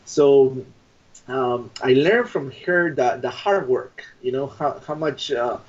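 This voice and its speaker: A man from Peru, telling his story as a podcast guest with halting phrasing and many fillers. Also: mid-range voice, lo-fi sound. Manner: hesitant, many fillers and repeated words. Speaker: guest, business coach. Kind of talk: interview conversation.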